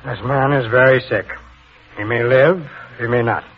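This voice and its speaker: A man's voice: medium pitch, normal speed, lightly acted. A man playing a solemn doctor giving a grim verdict in a 1940s radio dramatization, grave and portentous. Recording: muffled old radio broadcast with music.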